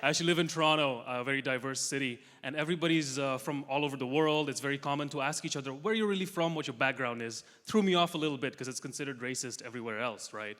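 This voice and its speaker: A young man from Russia performing a stand-up comedy set, delivering dry, self-mocking punchlines with quick asides. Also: medium pitch, fairly bright tone. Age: young adult. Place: large comedy festival hall with audience. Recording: live hall recording with long reverb.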